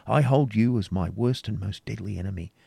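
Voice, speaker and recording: A man voicing Rebecca, a quiet, defiant young woman. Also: lowish voice, brisk, gravelly, slightly rough, no echo, exaggerated voice. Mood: solemn.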